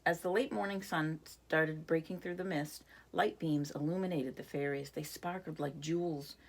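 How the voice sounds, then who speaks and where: A woman's voice: low-pitched, fairly even intonation, moderate pace, creaky, slight background noise, rough recording. A woman reading a picture book aloud expressively, now and then speaking to young listeners. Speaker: reader.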